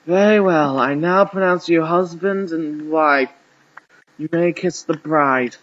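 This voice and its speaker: A man voicing a formal, declamatory archbishop with a rasp, officiating in a story reading. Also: fairly high pitch, slow pace, a bit bright, lo-fi sound, voice pushed up. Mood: solemn, declaring. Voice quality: raspy. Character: rasping, ceremonious male archbishop officiating a wedding.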